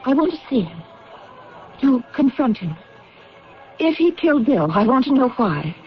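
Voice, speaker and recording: A woman's voice: high-pitched, big pitch swings, normal speed, soft background music. A woman acting in a vintage radio drama as an anxious, determined figure in a spy mystery, earnest and intense. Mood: insistent. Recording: muffled old radio broadcast.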